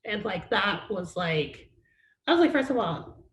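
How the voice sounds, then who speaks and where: High-voiced singer, rambling casual talk. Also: big pitch swings, measured pace, fairly bright tone. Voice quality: naturally high-pitched voice. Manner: rambling, heavy use of "like" and "you know what I mean". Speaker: interview guest, singer. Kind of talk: casual interview chat. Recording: echoey room, faint noise.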